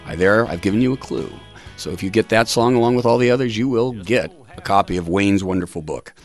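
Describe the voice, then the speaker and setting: A middle-aged man hosting talk radio in a measured, deliberate way, sometimes reading tributes aloud. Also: lowish voice, faint music behind. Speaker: radio show host.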